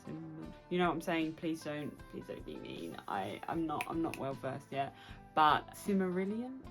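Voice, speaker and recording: A young British woman chatting casually and excitably to her viewers as a book vlogger. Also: deep voice, normal speed, faint music behind. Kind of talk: casual chatty vlog to camera.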